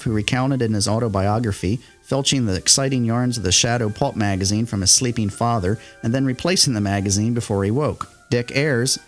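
A man reading a scripted history in an even middle register, steady and informative. Faint music plays under his voice. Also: mid-range voice. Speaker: podcast host and radio historian. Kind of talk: scripted narration.

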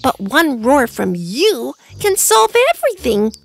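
A woman voicing a small, timid but brave male mouse in a cartoon, with a squeaky high voice. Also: very animated, a little slow, creaky, over loud music, exaggerated voice. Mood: pleading. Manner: timid, pleading. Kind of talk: cartoon voice acting.

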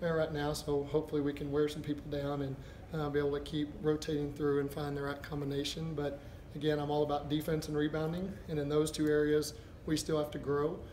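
A middle-aged man, a basketball head coach, answering postgame press questions in a halting, thinking-aloud way. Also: a little high, completely flat delivery, a little mellow. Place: indoors before a backdrop, a step from camera. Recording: echoey room with background noise.

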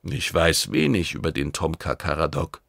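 Man acting a low, cautious alien adviser. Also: animated, gravelly, a bit bright, dry recording, studio quality, full character voice. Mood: calculating. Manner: subdued, measured, careful. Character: cautious, deferential alien oracle page, quietly calculating adviser. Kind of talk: audiobook character acting, science fiction.

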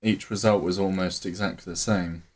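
A man's voice: sits low, fairly even intonation, normal speed, a little mellow. A man in his thirties co-hosting a casual gaming podcast from a small home room, laid-back, with short agreeing replies. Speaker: podcast co-host.

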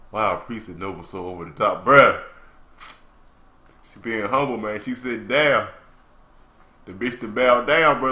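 A young man giving casual, slangy, excitable live reaction commentary on anime as the host. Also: lowish voice. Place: small room indoors. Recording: roomy, echoing home recording.

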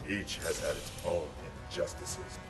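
Casting: cold commanding warrior, deep voice, distant under loud music, video game. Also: male voice, fairly even intonation, unhurried. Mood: stern. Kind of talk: video game character dialogue.

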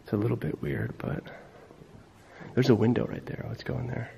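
A man's voice: medium pitch, sing-song, a little fast, creaky, warm tone. A young man, chatting casually and cautiously as he explores a building on camera, often asking questions. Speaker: urban explorer filming a video. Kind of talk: casual hushed exploring chat. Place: indoors, abandoned school building at night. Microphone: handheld camera mic. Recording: noisy handheld camera audio, clicks.

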